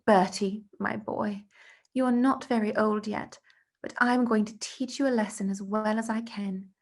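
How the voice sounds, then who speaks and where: A woman's voice: mid-range voice, steady tone, moderate pace, natural voice. A woman voicing an elegant, affectionate aunt in a storybook, fond, emphatic and decisive.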